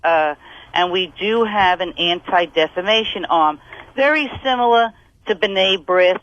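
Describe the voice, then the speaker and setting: A woman's voice: fairly low pitch, measured pace, a bit bright, no echo. A woman debating on live radio as an organization's spokesperson, firm and defensive, over a muffled phone-in connection. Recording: dull, band-limited phone-in sound.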